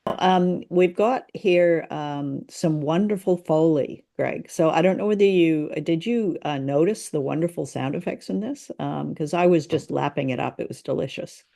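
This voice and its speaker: Creaky-voiced woman in casual podcast chat. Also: low voice, moderate pace, few pauses, mellow. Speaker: podcast co-host. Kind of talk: casual chat about old radio drama.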